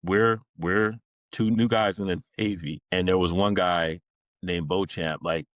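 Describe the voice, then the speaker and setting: Low-voiced man telling stories casually. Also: steady tone, a little slow, fairly bright tone, no room sound, studio quality. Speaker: podcast guest, Navy veteran. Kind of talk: casual conversational storytelling.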